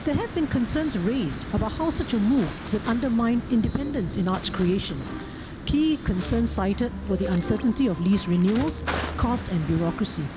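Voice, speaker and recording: A woman's voice: on the high side, lively intonation, moderate pace, noisy background. A woman with a Singaporean accent reading a formal prepared speech as a member of parliament. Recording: game music over parliamentary speech audio. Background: loud music from a video game.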